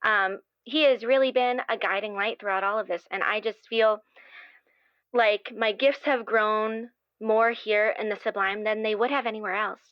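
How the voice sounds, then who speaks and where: A woman playing an earnest, gushing playwright in an improvised audio drama, speaking in a halting, effusive way as if anxious to please. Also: medium pitch, fairly even intonation, clear, resonant tone, no echo, muffled recording, natural voice. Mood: gushing, devoted. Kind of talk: improvised actual-play interview acting.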